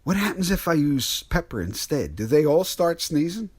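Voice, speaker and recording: An actor playing a weary, skeptical truck driver with a husky, folksy, colloquial way of talking in a radio mystery drama. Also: male voice, fairly high pitch, sing-song, moderate pace. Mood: amused, skeptical.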